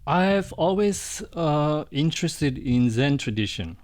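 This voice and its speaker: A middle-aged man from Indonesia, a Buddhist monk, answering interview questions in a hesitant, soft way with frequent restarts. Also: on the high side, expressive, slow.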